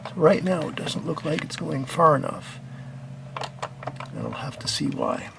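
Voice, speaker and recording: A man with a gravelly voice plainly and methodically narrating a hands-on repair as the host of a hobby video. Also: a little high, unhurried, noisy background.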